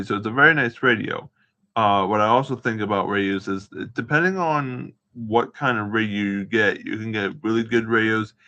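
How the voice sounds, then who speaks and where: Gravelly low-voiced man, rambling casually. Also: sharp tone, lo-fi sound. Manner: halting, searching for words, repeating himself. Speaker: amateur vlogger showing gear. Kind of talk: casual rambling show-and-tell to camera.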